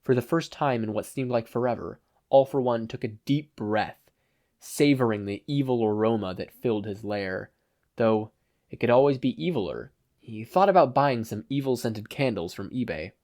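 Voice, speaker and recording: A man's voice: mid-range voice, expressive, moderate pace, darkish tone. A man narrating a scripted story as an audiobook-style reader. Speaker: podfic narrator.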